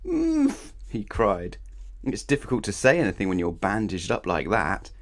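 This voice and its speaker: Deep-voiced man reading a children's story. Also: moderate pace. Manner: animated, playful, addresses young listeners directly. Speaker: storybook reader. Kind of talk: expressive scripted children's story read-aloud.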